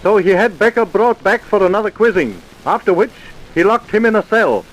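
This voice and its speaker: A man's voice: high voice, lively intonation, fairly quick, low-quality recording. A man playing an earnest narrator, reading a scripted vintage radio crime story. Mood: earnest, dramatic. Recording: hissy old radio recording.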